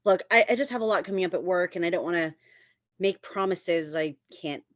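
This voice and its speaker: A woman's voice: fairly low pitch, a little fast, no room sound, muffled recording, close to an everyday voice. A woman playing a smug, evasive man in his forties with a casual, blunt delivery, in a table read.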